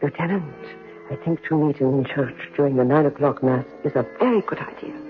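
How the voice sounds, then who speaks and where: A woman's voice: very deep voice, brisk. Earnest Frenchwoman on tinny old radio. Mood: discreet, worried. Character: devoted, resourceful French general's wife, anxious but practical. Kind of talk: old-time radio drama acting. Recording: tinny, band-limited old radio broadcast, music.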